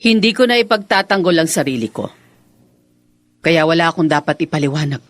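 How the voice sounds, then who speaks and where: An actress playing a defiant, scornful wife in a Filipino radio drama, cool and mocking in manner, with a Filipino accent. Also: female voice, sits low, animated, fairly quick, dry recording. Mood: cold, defiant. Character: defiant, scornful second wife accused of infidelity.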